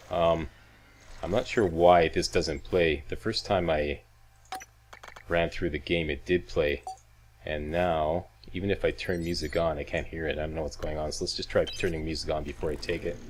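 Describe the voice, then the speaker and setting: Low-voiced man doing casual game commentary. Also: slight background noise. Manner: folksy, wisecracking asides. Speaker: video game walkthrough host.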